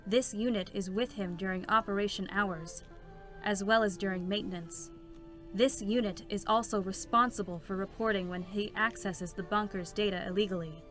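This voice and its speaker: A woman's voice: mid-range voice, normal speed, close to an everyday voice. A woman voicing a procedural support robot in a dramatic reading, with formal, clipped delivery. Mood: clinical, detached. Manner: flat, formal, clipped announcements. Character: cold, clinical female support robot, relentlessly procedural. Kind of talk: dramatic stage reading of a game story. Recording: music behind the voice.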